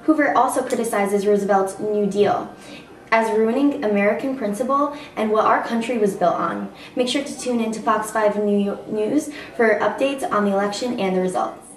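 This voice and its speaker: A woman's voice: medium pitch, moderate pace. A woman anchor reading scripted amateur news. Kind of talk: scripted amateur mock newscast. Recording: echoey room, distant mic, faint noise.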